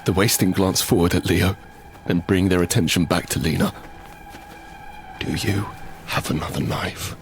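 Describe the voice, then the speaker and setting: A man's voice: low voice, fairly even intonation, normal speed, pauses often. Man acting a tense male apocalypse survivor, music behind. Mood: tense, hushed. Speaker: narrator and lead character in audio drama. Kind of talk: first-person dramatic narration with acted dialogue. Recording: background music under the voice.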